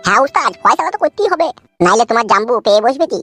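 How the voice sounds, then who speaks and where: A woman's voice: fairly high pitch, big pitch swings, racing, bright, forceful tone, no room sound, soft background music, pitched below the performer's own voice, full character voice. A woman voicing a dim-witted young male sidekick in a comedy cartoon who keeps eagerly agreeing.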